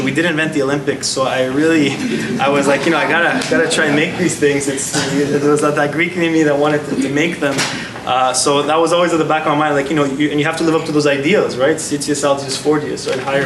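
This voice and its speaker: A man in his thirties, a former athlete, answering onstage interview questions earnestly, with many restarts. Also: mid-range voice. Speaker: guest speaker, former athlete. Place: large gala hall. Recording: echoey hall, heard from far off, noisy.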